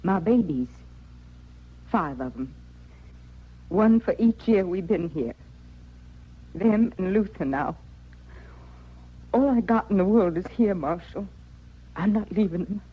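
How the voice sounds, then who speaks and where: An actress with an American accent playing a worn, stubborn young widow on the prairie in plain rustic speech for a radio western. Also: female voice, medium pitch, animated, slow pace, pauses often, breathy edge. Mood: grieving, resolute. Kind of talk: old-time radio western acting. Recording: muffled old radio recording.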